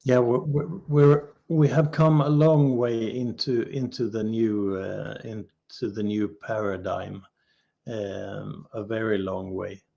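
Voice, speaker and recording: A man with a gravelly, mellow voice in a relaxed livestream conversation. He talks very slowly and hesitantly, pausing often as he pieces his thoughts together. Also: on the low side, highs cut off. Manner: hesitant, halting, drawn-out phrasing with long pauses. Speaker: livestream guest. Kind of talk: casual unscripted discussion.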